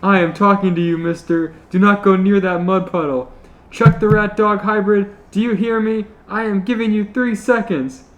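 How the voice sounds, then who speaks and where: A man voicing a bratty, bossy young woman who scolds her pet in a shrill voice. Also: fairly even intonation, normal speed, highs cut off, natural voice. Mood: scolding.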